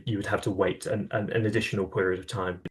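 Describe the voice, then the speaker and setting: Hesitant low-voiced man. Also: little pitch movement, fast. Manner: hesitant, many fillers and restarts. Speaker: advocacy coordinator. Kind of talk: explaining policy in conversation.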